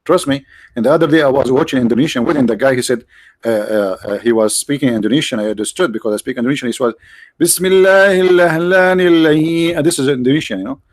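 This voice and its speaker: A man's voice: mid-range voice, quick pace, dry recording. A man hosting a livestream, talking casually and sarcastically, with many restarts and fillers. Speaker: livestream host.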